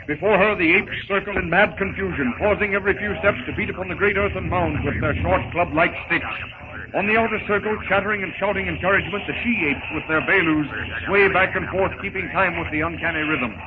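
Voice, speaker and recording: A man's voice: high-pitched, fairly quick. A man giving florid, dramatic scripted narration in the manner of a 1930s radio serial. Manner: florid, theatrical. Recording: noisy tinny 1930s radio recording.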